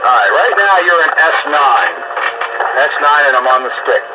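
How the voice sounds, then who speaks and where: A man's voice: a little high, big pitch swings, moderate pace, highs cut off. A man chatting casually as an amateur radio operator, blunt and exasperated, puzzling aloud over a band-limited radio link. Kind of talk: casual on-air radio chat. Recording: band-limited radio transmission, loud static noise.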